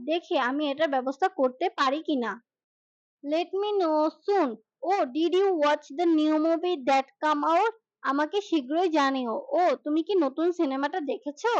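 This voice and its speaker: A woman reading a scripted language lesson dialogue line by line as a teacher, in a deliberate manner. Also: high voice, a bit bright, no room sound, lo-fi sound. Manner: slow deliberate English, brisk translations. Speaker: English teacher.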